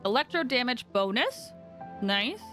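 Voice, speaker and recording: A woman chatting casually on a game stream, giving animated reactions to what she sees. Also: a little high, a little slow. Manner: chatty, animated reactions, breaks into singing and laughter. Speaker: livestreamer. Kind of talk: casual live gaming commentary with chat. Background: game music.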